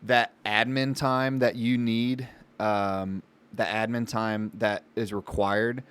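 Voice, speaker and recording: Podcast guy, lowish voice, laid out casually, kind of reflective and informal. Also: little pitch movement, takes it slowly, fairly bright tone, clean tone. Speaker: podcast co-host and business owner. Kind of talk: casual conversational podcast talk.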